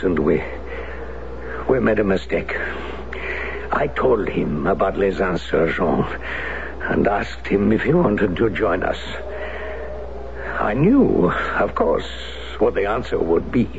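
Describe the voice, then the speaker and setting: A gravelly, low-voiced resistance veteran, slow and weighed down by guilt. His pitch rises and falls widely as he confesses. The sound is an old, dull radio broadcast with steady hiss. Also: heavily acted. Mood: remorseful. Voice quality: gravelly, solemn. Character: grave middle-aged French resistance veteran, guilt-ridden. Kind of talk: 1970s radio drama acting.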